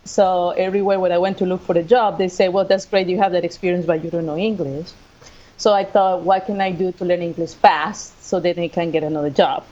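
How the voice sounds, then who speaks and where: Costa rican lady w/ spanish accent, lowish voice, telling her story in an interview, bit dull audio. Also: steady tone, fairly quick, dark tone. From Costa Rica. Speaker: guest, assistant professor of sports management. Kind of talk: conversational personal storytelling in an interview.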